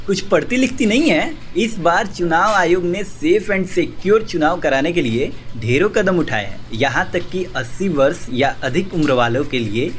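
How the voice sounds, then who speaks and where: A man playing an opinionated, teasing young office worker in a scripted radio drama, lecturing his friends bluntly. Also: sits high. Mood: teasing, impatient. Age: young adult. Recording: faint background noise and ambience.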